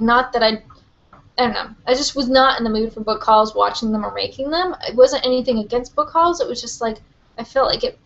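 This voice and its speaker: A young woman hosting a live book Q&A from her webcam, chatty and rambling with lots of "like" and "um", her voice a little hoarse. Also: on the high side, fairly bright tone, muffled recording. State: slightly hoarse, losing her voice. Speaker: book vlogger. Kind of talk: live-stream Q&A.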